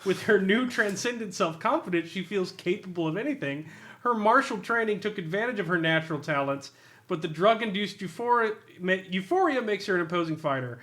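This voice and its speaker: High-pitched, bright-voiced man, amused. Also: expressive, fairly quick. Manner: amused, sardonic, often laughing while talking. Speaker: podcast host reviewing a tabletop game. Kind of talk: humorous casual review, reading aloud with commentary.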